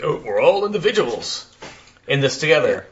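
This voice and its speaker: A man's voice: on the high side, big pitch swings, moderate pace, a little hiss or hum. A man co-hosting a podcast in casual banter, often chiming in with jokes. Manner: joking, interjecting. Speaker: podcast co-host. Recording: dull lo-fi podcast recording.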